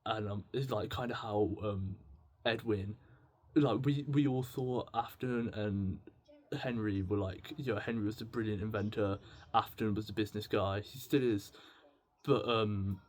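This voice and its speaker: A man's voice: on the low side, steady tone, measured pace, a little mellow. A man in his late teens talking casually and confessionally to camera, rambling and stammering as he thinks aloud. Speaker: video blogger.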